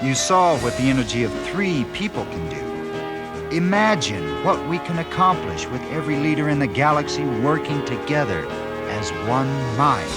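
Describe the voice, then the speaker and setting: An actor playing an idealistic galactic peacemaker, speaking solemnly and earnestly in a 1970s science fiction audio drama. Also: male voice, on the high side. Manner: earnest, solemn, measured explaining. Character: idealistic royal councilman, visionary galactic peacemaker.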